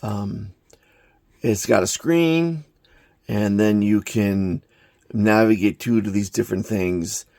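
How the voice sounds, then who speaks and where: Low, gravelly man, unhurried gadget reviewer. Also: darkish tone, no echo, studio quality. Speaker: product reviewer, hobbyist maker. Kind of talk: unscripted hands-on gadget review.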